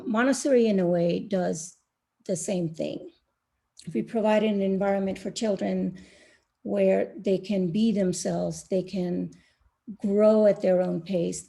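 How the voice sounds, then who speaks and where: A middle-aged woman from India speaking as a panelist on an online call, slow and thoughtful with many pauses and fillers. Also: mid-range voice, creaky, mellow. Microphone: video call.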